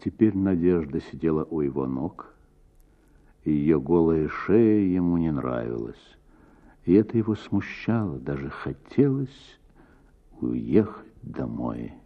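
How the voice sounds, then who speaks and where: A man narrates a literary story in a low, slightly husky voice. He takes his time and shapes phrases expressively, like an actor on radio. The sound is a little dull. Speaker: actor reading a short story.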